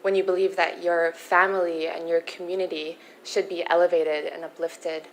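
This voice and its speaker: Young pageant contestant, lowish voice. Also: female voice, little pitch movement, slight background noise, low-quality recording. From Philippines. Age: young adult. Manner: poised, earnest, measured. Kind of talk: answering interview questions.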